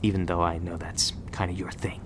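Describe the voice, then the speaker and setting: Male actor as guarded agent mentor, low voice, terse and stern, ASMR roleplay, noisy rumbling ambience. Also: little pitch movement, fairly quick, lightly acted. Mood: stern, patient. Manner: terse, stern instructing, stammers when flustered. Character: gruff, guarded male agent training a rookie knife thrower.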